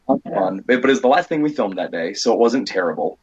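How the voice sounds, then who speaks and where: An American man with a low voice and a husky edge talks casually as an interview guest. He rambles and acts out bits of dialogue as he goes. The audio is dull and lo-fi. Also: quick pace. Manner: rambling, animated retelling with quoted dialogue. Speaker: guest, indie horror filmmaker and stunt performer.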